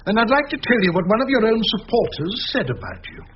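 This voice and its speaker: A man's voice: sits high, lively intonation, quick pace, highs cut off, a little hiss or hum. A man playing a disdainful English senior barrister in a radio drama, putting formal, sceptical, pointed questions. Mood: disdainful, probing. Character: disdainful, probing senior barrister on interview panel.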